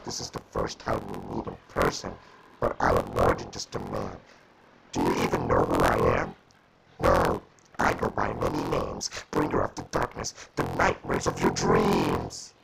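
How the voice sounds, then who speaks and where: A man's voice: very deep voice, wildly swooping pitch, gravelly, exaggerated voice. A man voicing a menacing, boastful demonic villain with a growling, grandiose delivery in amateur scripted voice lines. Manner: slow, grandiose, threatening. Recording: loud noise and clicks, crude home recording.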